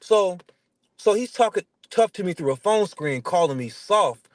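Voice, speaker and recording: A man's voice: high voice, lively intonation, moderate pace, no echo. A man in his thirties with a stutter, chatting casually in a blunt, slangy, emphatic way as he tells his stories. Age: 30s. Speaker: interview guest. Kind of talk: casual chat, animated storytelling.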